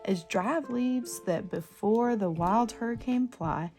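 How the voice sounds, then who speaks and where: A woman reading a children's storybook aloud in an expressive, sing-song verse delivery. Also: on the high side, takes it slowly. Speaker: bedtime story reader. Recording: music playing behind the voice. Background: plucked guitar music.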